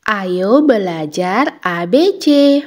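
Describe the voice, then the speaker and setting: A young woman teaching children English, saying each phrase slowly and clearly, repeating it, then translating it. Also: medium pitch. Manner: clear, deliberate, lively intonation.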